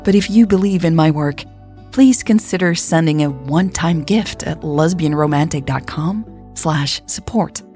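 A native Dutch-speaking woman with a husky voice, narrating a scripted podcast in a measured way. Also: low-pitched, mellow, soft background music. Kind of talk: scripted audio story narration.

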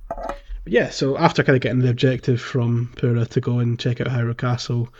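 A man co-hosting a podcast, chatting casually about a video game in a hesitant, halting way. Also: medium pitch, fairly even intonation, dull audio. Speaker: podcast co-host.

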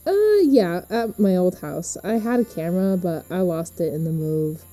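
A woman playing an easygoing, reassuring teenage girl who talks gently and casually in a podcast drama. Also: fairly low pitch, animated, moderate pace, faint music behind, lightly acted. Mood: reflective, reserved. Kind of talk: fiction podcast acting.

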